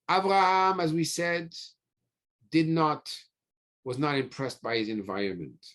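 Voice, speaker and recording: Male rabbi for religious lecture: unhurried, gravelly edge, emphatic teaching delivery with pauses and rhetorical questions. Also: on the high side, a bit bright. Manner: emphatic, pausing often, repeats phrases and asks rhetorical questions. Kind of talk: sermon, teaching through scripture stories.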